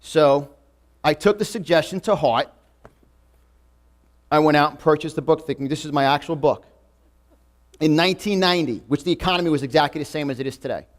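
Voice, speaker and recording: A man's voice: a little high, fairly quick, long pauses. A man in his forties with a New York accent, giving an emphatic, repetitive motivational talk to a live audience. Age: 40s. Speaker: motivational speaker. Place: event room before a live audience.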